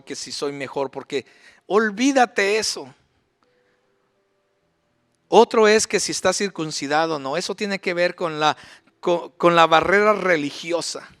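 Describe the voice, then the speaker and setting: A man's voice: sits high, fairly bright tone. A middle-aged male pastor preaching a sermon in a church, emphatic, with rhetorical questions. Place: church sanctuary.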